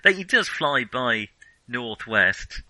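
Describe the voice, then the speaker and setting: A man's voice: mid-range voice, very animated, slow pace, sharp tone, no room sound. A man hosting a casual film discussion, talking in a rambling, conversational and amused way. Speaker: film podcast host.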